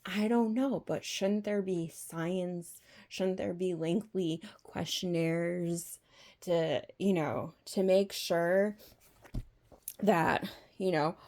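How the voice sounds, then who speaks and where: A woman speaking off the cuff in an opinion vlog, halting and rambling, often posing rhetorical questions. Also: fairly low pitch, steady tone, a little mellow. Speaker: vlog host. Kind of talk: unscripted opinion monologue.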